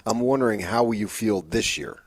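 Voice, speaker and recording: A man's voice: on the low side, fairly quick, dry recording. A man co-hosting a sports radio show, asking a guest questions in a conversational style. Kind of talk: conversational interviewing.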